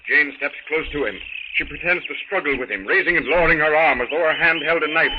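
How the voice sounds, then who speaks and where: A man's voice: on the high side, quick pace, lightly acted. A man narrating a jungle adventure serial in an earnest, dramatic 1930s radio style on a muffled, hissy recording. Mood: earnest, suspenseful.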